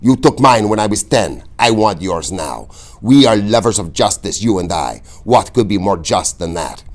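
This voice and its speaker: A man's voice: on the low side, animated, normal speed, natural voice. A man voicing a wounded young swordsman bent on revenge, intense and insistent, in a storybook reading. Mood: cold menace. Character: wounded young male swordsman, vengeful and relentless.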